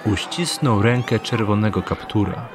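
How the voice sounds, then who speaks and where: A man narrating an audio drama in an even storytelling tone. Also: fairly low pitch, normal speed, noisy background.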